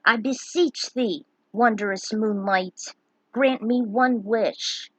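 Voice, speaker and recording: A yearning old man, read by a woman, declaims pleadingly in a storybook tale. The voice is husky and recorded dry. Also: on the high side, slow pace, no room sound, rough recording. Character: yearning cloaked old man praying to the moons.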